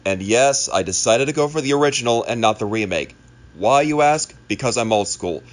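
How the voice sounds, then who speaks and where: A man's voice: mid-range voice, expressive, a little fast. A man speaking casually to viewers as an amateur creator making an announcement. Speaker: amateur video creator and voice actor. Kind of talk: casual scripted-feeling vlog announcement.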